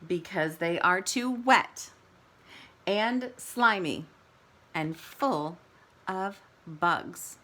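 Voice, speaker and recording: Woman voicing a slow, sly, hungry storybook wolf. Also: fairly low pitch, lively intonation, bright, forceful tone, full character voice. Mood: matter-of-fact. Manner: slow, drawn-out, deliberate delivery. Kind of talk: children's picture book read-aloud.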